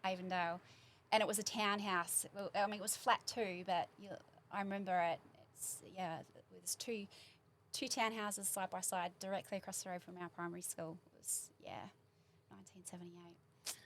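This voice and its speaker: A woman in her forties with a New Zealand accent, a guest in a casual studio interview, chatting warmly and rambling through memories. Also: mid-range voice, fairly even intonation, normal speed, a little hiss or hum. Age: 40s. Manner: warm, chatty, rambling recollection. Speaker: podcast guest. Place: small podcast studio.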